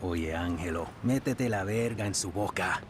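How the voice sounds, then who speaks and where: An actor playing a crude, mocking gang enforcer in a game cutscene. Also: male voice, low-pitched, moderate pace, background noise, lightly acted. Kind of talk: video game cutscene acting.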